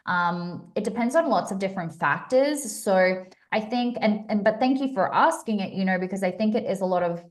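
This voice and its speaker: A woman's voice: medium pitch, animated, normal speed, few pauses. A young woman from Australia with a mixed Australian and American accent, chatting upbeat with viewers on her live stream, full of fillers and restarts.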